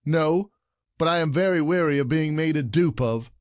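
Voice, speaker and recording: An aggrieved husband in a classic farce, acted by a man, with a fairly high voice and a dry, close sound. He declaims his grievances formally, indignant and scheming. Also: moderate pace, dry recording, close to an everyday voice. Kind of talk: classic comedy audio play acting.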